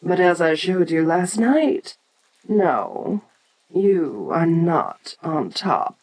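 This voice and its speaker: Woman voicing a slow, haughty giant monster queen. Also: lowish voice, lively intonation, darkish tone, heavily acted, rough recording. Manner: imperious, condescending, unhurried. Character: ancient giant kaiju queen, haughty, domineering female monster. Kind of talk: scripted audio roleplay addressed to the listener.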